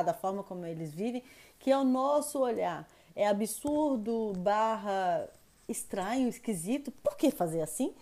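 A woman's voice: medium pitch, a little mellow. A Brazilian woman co-hosting a podcast, chatting eagerly and joking about herself. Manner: enthusiastic, chatty, self-deprecating. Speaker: podcast co-host. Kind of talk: casual podcast chat. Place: home in France.

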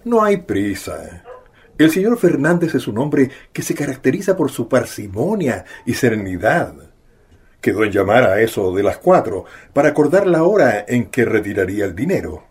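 Very husky family father. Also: mid-range voice, sing-song, normal speed, a little mellow. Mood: calm, reasonable. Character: trusting, reasonable middle-aged family father. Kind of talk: radio drama acting.

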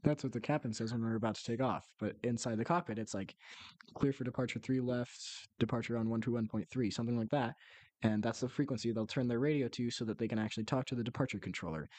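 A man with a mid-pitched voice co-hosts a casual explainer podcast. He talks in a joking, chatty way and keeps interrupting himself with asides. The sound is dry, with no room echo. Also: fast talker, a little mellow. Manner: jokey, self-interrupting asides. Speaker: podcast co-host.

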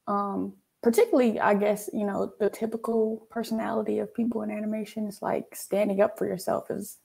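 A woman's voice: medium pitch, flat delivery, brisk, vocal fry, darkish tone, husky. A woman who hosts her own video interviews as an animator, speaking casually with slang and laughing easily. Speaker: host, animator.

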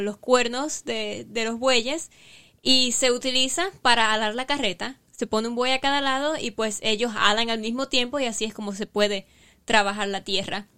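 Female co-host, slightly high voice, explains through stories. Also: pushed and bright, clean tone. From Cuba. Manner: storytelling, explanatory. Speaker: podcast co-host.

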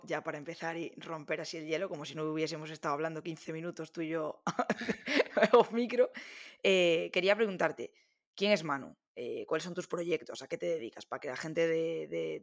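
Creaky-voiced woman hosting a chatty interview. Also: sits low. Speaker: podcast host, interviewer.

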